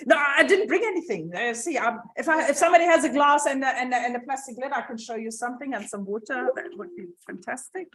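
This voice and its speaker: A woman's voice: high voice, fast talker, few pauses, fairly bright tone. A woman presenting a university science centre, talking casually and laughing as she repeats herself while fighting screen-sharing troubles in a lecture venue. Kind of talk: informal talk with tech troubles. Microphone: room mic, sometimes off mic.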